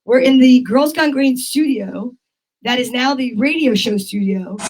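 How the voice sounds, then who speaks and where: A woman's voice: high-pitched, unhurried, poor audio. A woman hosting a homemade show in casual, chatty style. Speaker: host. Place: home basement studio. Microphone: studio microphone.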